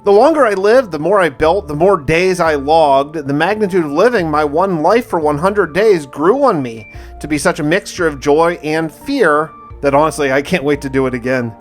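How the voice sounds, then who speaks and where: A man's voice: fairly high pitch, lively intonation, normal speed, faint music behind. A man hosting a gaming video, telling a dramatic first-person story of his play in a theatrical, in-character way. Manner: theatrical, in-character roleplay delivery with repeated phrases.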